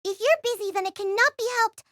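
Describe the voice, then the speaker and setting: A proud little schoolgirl in an audio drama, very high and quick. She is curt and composed, coldly polite, with a bright, sharp edge as she hides her grief. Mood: cold, defiant. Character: tough, proud young schoolgirl hiding grief and spite. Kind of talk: audio drama character acting.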